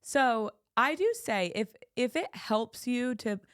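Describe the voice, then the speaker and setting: A woman's voice: fairly high pitch, animated, slow pace, smooth, dry recording, studio quality. A woman co-hosting a podcast, giving advice in a thoughtful, casual chat. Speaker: podcast co-host.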